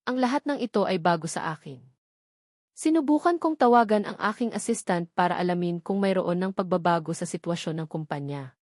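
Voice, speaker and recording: A woman's voice: mid-range voice, darkish tone, dry recording. Dry, close-sounding woman narrating a romance drama. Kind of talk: first-person scripted dramatic narration.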